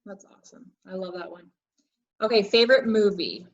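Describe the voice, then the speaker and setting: Female podcast-style host, informal and affirming, mid-pitched, on a slightly muffled recording. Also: lively intonation, moderate pace. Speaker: interview host. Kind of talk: casual quick-question chat. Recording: slightly dull sound.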